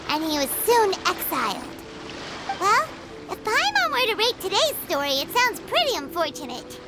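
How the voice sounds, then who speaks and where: Casting: tiny perky game fairy sidekick, extremely high childlike squeak, loud music underneath. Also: female voice, heavily acted. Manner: perky, speaks of self in third person. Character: tiny excitable childlike floating fairy companion, female. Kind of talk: video game character acting.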